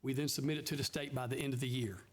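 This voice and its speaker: A man's voice: mid-range voice, fairly even intonation, racing. Man giving a step-by-step committee report. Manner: methodical, explains step by step. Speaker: council member. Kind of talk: committee report at a council meeting.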